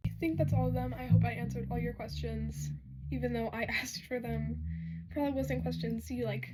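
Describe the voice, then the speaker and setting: A young woman with a hoarse, raspy voice she says she has lost, rambling casually through a pet Q&A vlog at home with lots of ums and apologies. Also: steady tone, fairly quick, very noisy. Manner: rambling, self-correcting, many "um"s, apologetic. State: hoarse, losing her voice. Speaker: pet vlogger answering questions. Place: bedroom at home. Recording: home recording with loud bass music behind. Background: loud bass guitar playing.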